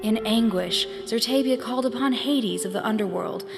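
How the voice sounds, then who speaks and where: A woman's voice: fairly high pitch, moderate pace, creaky. A woman narrator telling a myth in a solemn, scripted storytelling style. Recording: long reverb, music behind.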